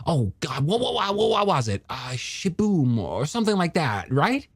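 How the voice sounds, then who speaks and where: A man's voice: fairly high pitch, sing-song, moderate pace, husky, dry recording, voice pushed up, exaggerated voice. A man voicing an enthusiastic, friendly young male scientist in an audiobook, chatty and given to stammering. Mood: excited, friendly. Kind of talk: audiobook character dialogue.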